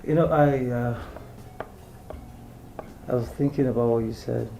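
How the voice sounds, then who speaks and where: An easygoing young Nigerian prince, speaking in a mellow, mid-pitched voice. It is naturalistic film dialogue with faint noise behind it. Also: male voice, fairly even intonation, a little slow, creaky, low-quality recording. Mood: thoughtful, friendly. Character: easygoing young crown prince seeking a bride. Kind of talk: naturalistic Nollywood film acting.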